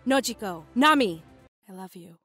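A woman's voice: mid-range voice, expressive, normal speed, fairly bright tone, lightly acted. A woman playing a tough, tender, self-sacrificing mother in an emotional, earnest manga dub. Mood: loving farewell. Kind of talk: dramatic manga voice-over acting. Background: music behind the voice.

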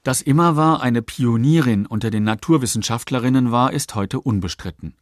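A man narrating a scripted documentary in a factual, measured way. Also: medium pitch, no room sound, clean studio sound. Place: dry studio.

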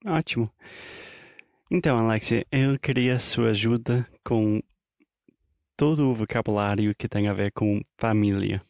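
Guy co-hosting a portuguese podcast, slow and steady, keeps asking questions, dry mic. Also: lowish voice, no room sound. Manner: asks questions and checks understanding. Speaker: podcast co-host. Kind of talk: casual language-teaching chat.

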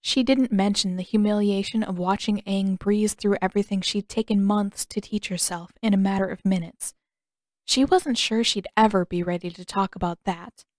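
A woman's voice: mid-range voice, fairly even intonation, moderate pace, a little mellow, no room sound, clean studio sound. A woman reading a fan fiction story aloud as scripted audiobook narration. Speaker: podfic narrator and author.

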